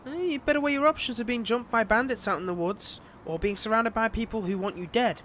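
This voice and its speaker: A man's voice: high voice, a little fast, fairly bright tone, a little hiss or hum. A man playing a smug, possessive fantasy villain in a roleplay audio drama, with a taunting, theatrical manner. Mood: smug, threatening.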